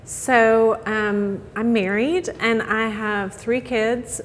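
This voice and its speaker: A woman's voice: a little high, steady tone, drawn-out words, big-room sound, slight background noise. A woman in her forties, born in Scotland and raised in England, answering interview questions in a friendly, modest way with light laughter. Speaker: interview guest, church member. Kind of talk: casual conversation.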